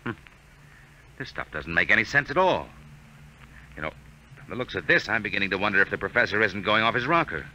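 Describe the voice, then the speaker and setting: An actor playing a hard-driving American army major, blunt and insistent, in 1950s radio drama style. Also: male voice, lowish voice, lively intonation, fairly quick, long pauses, bright, forceful tone, heavily acted. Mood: brisk. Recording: noisy old 1950 radio recording.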